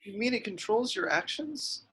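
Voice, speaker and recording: Man as a curious farm-boy apprentice, very husky, lively pitch, earnest, sci-fi drama reading. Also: moderate pace, heavily acted. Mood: questioning. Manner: questioning, earnest. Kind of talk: scripted table read acting.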